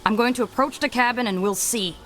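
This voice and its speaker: Woman as a bold Smurf adventurer, higher-pitched, over music. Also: a little fast, a bit bright, lightly acted, rough recording. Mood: alert, confident. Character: bold, no-nonsense young female Smurf adventurer. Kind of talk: animated video game voice acting.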